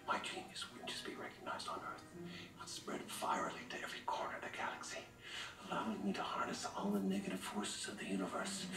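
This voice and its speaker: An actor playing a grandiose mad genius film villain, speaking with bombastic, theatrical drama. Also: male voice, fairly low pitch, bright, forceful tone, full character voice. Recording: echoey, distant film audio, music behind.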